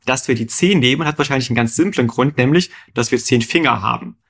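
Erklärender Podcast-Moderator, geduldig fragend. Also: male voice, medium pitch, quick pace, vocal fry, a bit bright, husky. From Rhineland, Germany. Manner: patient, quizzes his co-host with questions. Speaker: podcast co-host explaining numbers. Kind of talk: explanatory conversational chat.

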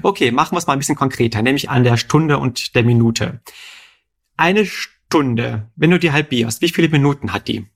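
Ein Mann aus dem Rheinland, der als Co-Moderator im Podcast locker etwas erklärt und seinem Gesprächspartner geduldig Rechenfragen stellt. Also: male voice, mid-range voice, normal speed. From Rhineland, Germany. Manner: patient, quizzes his co-host with questions. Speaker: podcast co-host explaining numbers. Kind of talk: explanatory conversational chat.

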